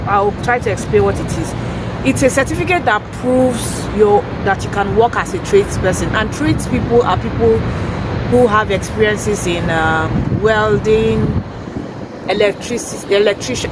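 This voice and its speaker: Hoarse woman vlogging outside. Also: mid-range voice. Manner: earnest, explanatory, with fillers and restarts. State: hoarse, losing her voice. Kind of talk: casual informational vlog. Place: outdoors on a city street. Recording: noisy street recording, distant from mic. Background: traffic and urban ambience.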